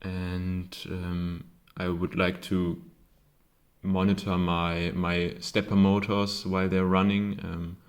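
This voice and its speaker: Slow, low-voiced German man. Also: completely flat delivery. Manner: hesitant, many ums and self-corrections. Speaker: maker showing his circuit board. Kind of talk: casual show-and-tell.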